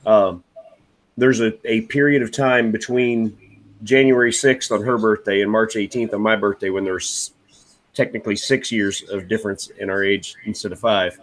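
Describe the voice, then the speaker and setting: Low-voiced, stammering man in his forties. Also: fairly even intonation, normal speed, poor audio. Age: 40s. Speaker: guest. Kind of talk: casual group chat.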